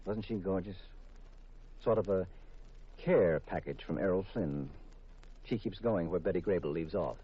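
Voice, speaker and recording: A suave 1950s radio detective and teasing husband. His voice is very low and husky, full of dry wisecracks. The recording is old and muffled. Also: unhurried, pauses often.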